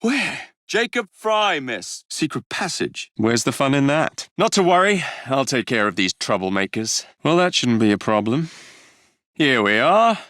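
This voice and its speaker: A man's voice: medium pitch, big pitch swings, a little slow, a bit bright, no echo, studio quality. A man playing a cocky young Victorian London gang leader in a video game, with an English accent and a cheeky, wry manner. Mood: brisk, cocky.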